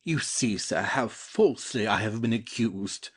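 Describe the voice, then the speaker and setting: A man's voice: lowish voice, expressive, unhurried, fairly bright tone, breathy edge, full character voice. A man playing a gallant nobleman with courtly, formal manners and wounded honour in a comedy audio play. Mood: offended, dignified.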